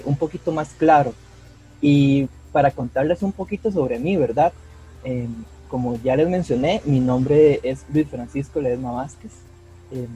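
A man's voice: medium pitch, mellow, poor audio. A young man with a Costa Rican Spanish accent co-hosting a podcast. He talks earnestly, often repeats words and keeps adding "¿verdad?". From Costa Rica. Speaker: podcast co-host, mycologist. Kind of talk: casual podcast chat.

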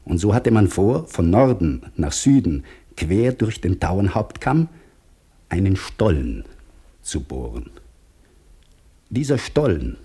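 An actor playing an anxious geology professor who wants to start over as a labourer, narrating a German radio play reflectively and in measured phrases. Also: male voice, low voice, big pitch swings, warm tone, husky, heavily acted. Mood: reflective, explanatory. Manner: measured, reflective, dropping to near whisper.

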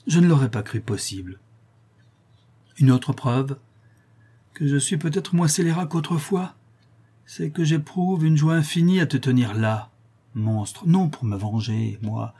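A husky, darkly tinted voice of a blind old killer, as a male reader puts him on. He broods in an intense, hushed monologue, threat and torment in every phrase. A classic storybook villain, heard in audiobook form. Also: mid-range voice. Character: blind brutal old male murderer, haunted and vengeful. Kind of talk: audiobook reading of a classic novel.

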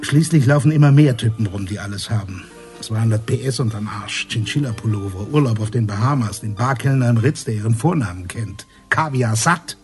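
An actor as a mellow, worldly, cynical man. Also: lowish voice, a little fast, soft background music, natural voice. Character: worldly, cynical male friend from the vice-squad milieu. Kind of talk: 1990s German radio crime drama.

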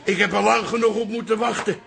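A man's voice: sits high, fairly even intonation, fast talker, creaky, a bit bright, soft background music, heavily acted. An actor playing a blunt, boastful older pub-owner father in a radio comedy-drama, grumbling and colloquial. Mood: proud, excited.

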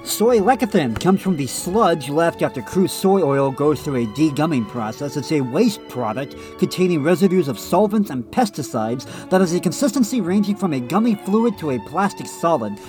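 A man's voice: a little high, very animated, moderate pace, hardly any pauses, a little mellow, soft background music. A man on a casual podcast with a slightly nasal voice, reading a text aloud and breaking off into blunt remarks of his own. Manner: reads passages then adds blunt off-the-cuff remarks. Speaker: podcast co-host.

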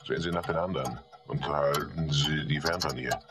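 A man's voice: very deep voice, brisk, over music. A man acting in a radio play as a cold, condescending officer, curt and sardonic with an air of authority. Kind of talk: German radio drama acting.

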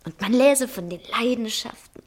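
Vain, dreamy young daughter for a 1960s radio play, female performer: high voice, literary phrasing, cold-hearted longing for luxury. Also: moderate pace, natural voice. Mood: dreamily musing. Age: young adult.

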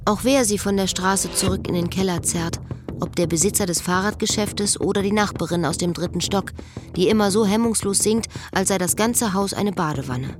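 A woman's voice: mid-range voice, moderate pace, background noise, natural voice. A woman acting a disillusioned, wry woman's introspective monologue in a literary radio drama.

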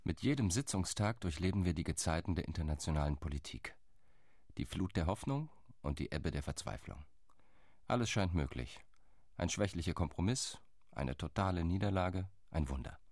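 A man's voice: low voice, animated, normal speed, a little mellow, dry recording, clean studio sound. An actor playing a male envoy lobbying diplomats, speaking in an earnest, reflective radio play monologue.

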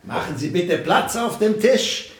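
An actor playing a pedantic, self-important older writer in a radio play, imperious and fussy in manner. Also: male voice, sits high, expressive, a little slow, background noise. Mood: brusque, demanding.

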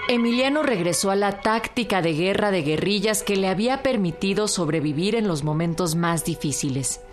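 A woman's voice: medium pitch, expressive, normal speed. A woman with a Mexican accent giving scripted narration for a historical radio drama. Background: music.

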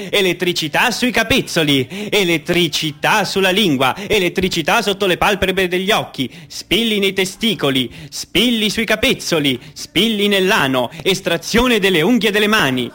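A man's voice: high-pitched, expressive, moderate pace, hardly any pauses, bright, forceful tone, exaggerated voice. An actor playing an insistent Argentine man haunted by the horrors of dictatorship in a radio play, speaking in an urgent, repetitive way. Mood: grim reciting. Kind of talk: radio theatre acting.

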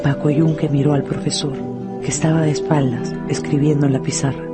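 A woman with a lowish voice narrates a radio story with measured expression. Music is clearly heard behind her as she tells it. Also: little pitch movement.

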